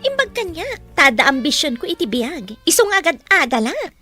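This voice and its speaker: A woman's voice: high voice, big pitch swings, normal speed, bright, forceful tone, soft background music, exaggerated voice. A woman with a Filipino accent acting a haughty, scornful young student in a radio drama.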